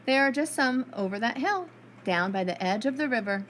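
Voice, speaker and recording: A woman voicing a male storybook wolf, falsely polite and menacing, with drawn-out sugary courtesy. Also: on the high side, animated, fairly bright tone, slight background noise. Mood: sly charm.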